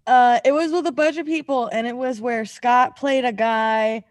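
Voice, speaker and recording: A woman with a fairly high voice hosts a podcast in a loose, chatty way. She is animated and quick to giggle. The sound is very dry, with hardly any room on it. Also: no room sound. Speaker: podcast host. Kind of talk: casual chat.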